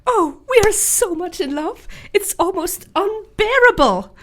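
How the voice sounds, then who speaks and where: A woman's voice: extremely high pitch, lively intonation, a little slow. A woman acting a romantic, overdramatic young heroine of a classic novel in a radio drama. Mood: swooning.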